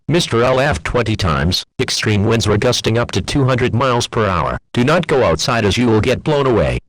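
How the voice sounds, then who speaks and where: A man's voice: medium pitch, a little hiss or hum, rough recording. A man's synthetic text-to-speech voice reading scripted emergency alerts in a formal manner.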